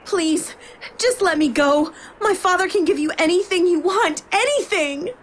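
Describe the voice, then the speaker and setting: An actress playing a young, feisty princess with a dramatic manner in an audio drama. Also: female voice, extremely high pitch, animated, measured pace. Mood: desperate pleading. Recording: loud background noise and ambience.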